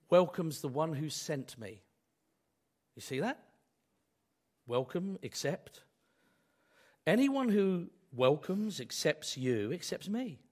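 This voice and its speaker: A man preaching a sermon, hoarse from a cold, asking his congregation rhetorical questions in a conversational way. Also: a little high, darkish tone. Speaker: pastor.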